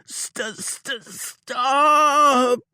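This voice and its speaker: A wounded outlaw in an audiobook, pitched far above his own deep voice and strained with panic. He yelps and cries out in pain, and the voice sits close and dry with no room sound. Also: animated, takes it slowly, creaky, bright, forceful tone, no room sound, heavily acted. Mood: panicked pain. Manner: yelping, howling and crying out in pain. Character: injured frightened male outlaw, panicky. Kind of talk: audiobook character voice.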